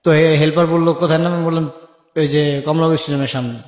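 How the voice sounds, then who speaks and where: A man's voice: a little high, level tone, fast. A young Bangladeshi man telling his life story as an interview guest, colloquially and with remembered dialogue acted out. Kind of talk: personal storytelling in a radio-style interview. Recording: echoey with long reverb.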